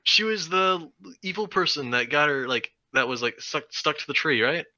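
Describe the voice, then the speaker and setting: A man's voice: a little high, expressive, moderate pace, pushed and bright, dry recording, highs cut off. A man chatting casually and animatedly as a podcast panelist.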